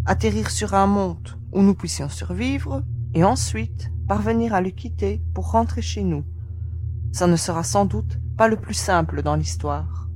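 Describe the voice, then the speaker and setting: A woman's voice: mid-range voice, darkish tone, a little hiss or hum, close to an everyday voice. A woman playing a practical, level-headed young member of a spaceship crew in a science fiction audio drama. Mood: admiring, practical.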